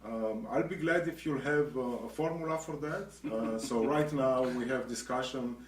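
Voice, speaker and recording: A middle-aged man answering interview questions, hesitant, pausing often and restarting sentences. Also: medium pitch. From grew up in Israel. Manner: hesitant, many pauses and restarts. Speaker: guest, association executive. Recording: echoey room, distant mic, faint noise.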